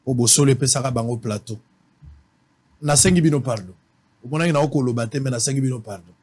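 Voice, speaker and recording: A male host with a Congolese accent and a medium-pitched voice. He talks politics casually, moving back and forth between languages. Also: fast, darkish tone, slightly rough. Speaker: talk show host.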